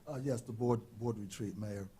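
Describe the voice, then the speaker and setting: A man's voice: lowish voice, moderate pace, warm tone, slight background noise. A man giving a town manager's report at a board meeting, hesitating with frequent ums. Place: council chamber.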